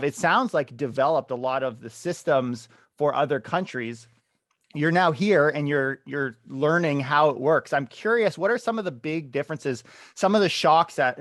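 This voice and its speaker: Upbeat male show host, higher voice. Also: no room sound, studio quality. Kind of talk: interview hosting.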